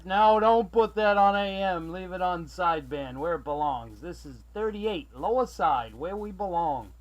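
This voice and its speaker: A man from Massachusetts chatting as a CB radio operator, friendly and excitable, calling out callsigns and sign-offs. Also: sits high, measured pace, muffled recording, very noisy. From Massachusetts, USA. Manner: excitable, friendly, prone to raising his voice. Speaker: CB radio operator running the channel from South Carolina.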